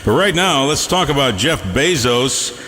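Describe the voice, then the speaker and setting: A man's voice: medium pitch, lively intonation, measured pace, bright, forceful tone. A man working as a music radio DJ, chatting playfully with hammy, jokey asides and bits of news. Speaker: radio DJ, host. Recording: reverberant radio stream with music bed.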